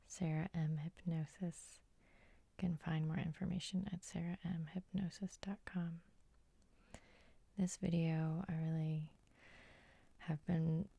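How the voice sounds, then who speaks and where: A woman hypnotist gently guiding sleep hypnosis in a soothing, unhurried, breathy ASMR whisper, close to the microphone. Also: sits low, no room sound. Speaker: hypnotist and host.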